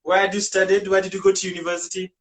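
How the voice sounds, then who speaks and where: A man with a notably high voice who hosts a casual live interview. He asks curious follow-up questions and keeps the talk moving with frequent short encouraging interjections. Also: monotone, rapid-fire. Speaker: interview host.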